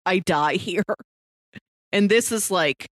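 A woman's voice: lowish voice, slow, dry recording, clean studio sound. A woman co-hosting a podcast, chatting casually about films in a conversational way with light laughter in her speech. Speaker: podcast co-host. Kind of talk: casual movie discussion.

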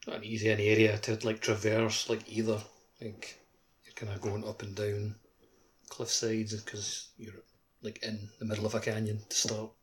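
Male co-host, low and monotone, loose casual phrasing, faint background noise. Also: normal speed. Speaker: podcast co-host. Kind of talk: casual chat.

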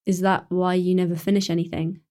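A woman's voice: fairly low pitch, level tone, fairly quick, a little mellow, lightly acted. An actress playing Emma, a caring, teasing teenage schoolgirl in a radio drama, speaking in an earnest, coaxing way. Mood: warm.

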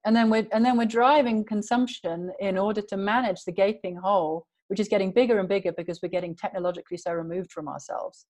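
A woman's voice: medium pitch, steady tone, fast, hardly any pauses, darkish tone. A woman guest in an interview, talking earnestly and emphatically in long flowing sentences. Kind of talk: passionate conversational interview.

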